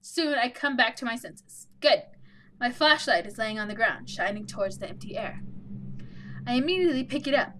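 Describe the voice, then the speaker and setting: A woman's voice: on the high side, expressive, normal speed, pushed and bright, a little hiss or hum, rough recording. A woman hosting a let's play, reading a visual novel aloud and adding wry, opinionated asides.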